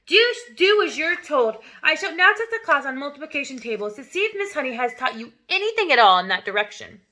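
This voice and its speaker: A woman's voice: sits high, sing-song, fairly quick, heavily acted. Woman putting on a bellowing bully headmistress. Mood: barking, furious. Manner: loud, barking, sarcastic. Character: huge bullying female headmistress, furious, barking.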